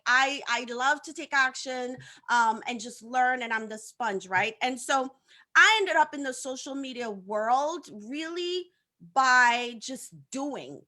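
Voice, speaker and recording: A woman's voice: high-pitched, sharp tone. A woman in her thirties from Haiti, a guest on a video-call talk show, telling her story in an upbeat, chatty way and laughing often. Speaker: guest, social media coach. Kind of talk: casual talk-show interview.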